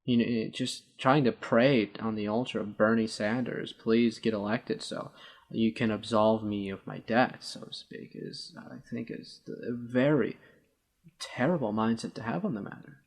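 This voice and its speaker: Male talk co-host, husky and creaky, hesitant and wordy, formal turns of phrase in an informal debate. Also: fairly low pitch, moderate pace. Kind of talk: casual political debate.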